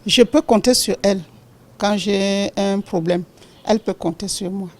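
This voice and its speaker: A woman's voice: medium pitch, unhurried, darkish tone. A woman giving a personal testimonial as an interviewee. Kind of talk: spontaneous testimonial.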